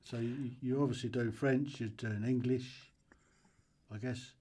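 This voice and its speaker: A man hosting a podcast, asking relaxed questions with hesitant restarts. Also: mid-range voice, unhurried, breathy edge. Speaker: podcast host. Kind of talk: casual interview.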